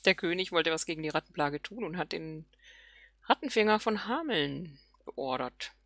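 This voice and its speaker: A woman's voice: low voice, animated, normal speed, fairly bright tone, dry recording. A woman hosting a let's play, thinking aloud casually and murmuring questions to herself as she plays.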